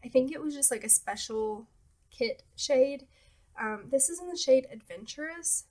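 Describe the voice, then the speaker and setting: A young woman talking casually about beauty products as a vlogger, relaxed and hesitant with many fillers, her nose a little stuffy. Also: high voice, normal speed. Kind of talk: casual product talk to camera.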